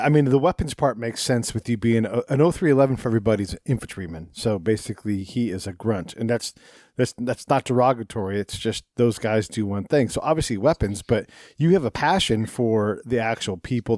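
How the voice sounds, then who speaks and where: A man hosting a podcast interview, asking questions in a relaxed, chatty way and laughing easily. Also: mid-range voice, brisk, no echo, clean studio sound. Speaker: podcast host. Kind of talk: casual interview chat.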